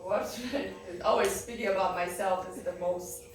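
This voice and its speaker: A young woman from Poland tells her life story to a room at a benefit, candid and halting, laughing often. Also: mid-range voice, steady tone, a little hiss or hum. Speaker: guest speaker. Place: event room. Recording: echoey room from far off.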